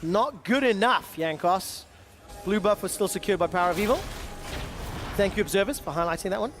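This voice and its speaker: A man runs the play-by-play on an esports match in a high voice. He trades dry jokes between calls. Clear music plays under the commentary. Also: a bit bright. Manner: dry banter, brisk play-by-play. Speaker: esports caster.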